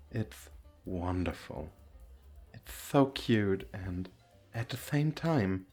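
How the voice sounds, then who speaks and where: Man acting a coy young femboy, effeminate lilt, very animated pitch, unhurried, teasing, ASMR roleplay, music behind.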